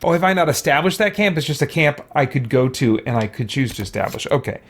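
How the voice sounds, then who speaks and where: A man's voice: medium pitch, animated, fast talker. A man hosting a casual let's play, thinking aloud and exclaiming as he goes. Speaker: gaming channel host.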